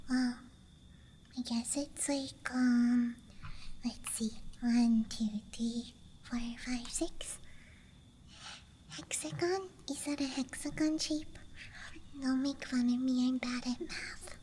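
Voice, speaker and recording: A woman whispering softly and breathily in a rambling, hesitant ASMR show-and-tell as a friendly dice goblin, close to the microphone. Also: high voice, slight background noise. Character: friendly dice goblin hoarding her treasures. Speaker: ASMR performer. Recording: close mic, audible ambient night sounds.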